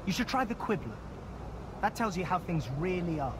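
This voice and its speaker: Game casting: excitable young wizard fugitive, very high pitch, lively swings, defiant. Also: male voice, normal speed, background noise. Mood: insistent.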